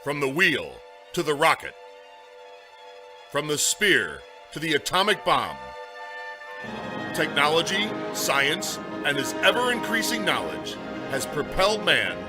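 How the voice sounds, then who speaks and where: A man's voice: a little high, very animated, a little slow, long pauses. A man acting as a grave sci-fi series announcer, delivering dramatic radio narration with portentous emphasis. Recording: voice under loud music.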